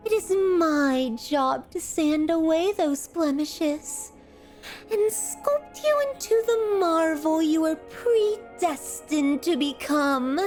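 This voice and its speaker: A grandiose, obsessive female sculptor and captor in an ASMR drama. Her voice is very high and she draws out her florid words extremely slowly, doting and menacing at once. Music plays behind her. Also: a little mellow, heavily acted. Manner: florid, pompous, drawn-out sweet menace. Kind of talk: ASMR roleplay, theatrical monologue.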